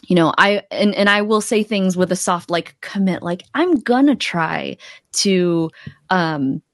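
A woman coach chats as a podcast guest in a fairly low voice. She thinks aloud, starting and restarting in a conversational way, recorded close and dry in a small room. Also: lively intonation, a little slow, no room sound. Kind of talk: casual conversational interview. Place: small indoor room, video call. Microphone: close desk microphone.

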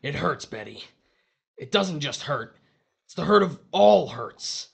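A man's voice: on the high side, expressive, measured pace, gravelly, breathy edge, full character voice. A man playing the desperate, bullying ghost of a dead husband in a horror audio drama, urgent and impatient. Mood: pleading, pained.

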